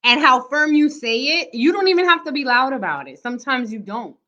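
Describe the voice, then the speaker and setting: A high, bright and forceful woman's voice talks straight to her viewers. She is loud and emphatic, giving motivational tips in a casual way and pausing with "okay?" after each point. The recording sounds dull and lo-fi. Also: animated. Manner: emphatic, keeps asking "okay?". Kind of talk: casual motivational talk to camera.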